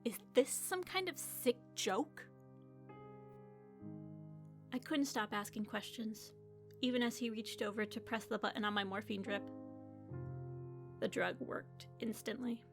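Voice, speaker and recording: Woman acting high-voiced, shaken young patient. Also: moderate pace, music in the background, close to an everyday voice. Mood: defiant, upset. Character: dying young woman hospital patient, defiant, shaken narrator. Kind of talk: audio drama acting with first-person narration.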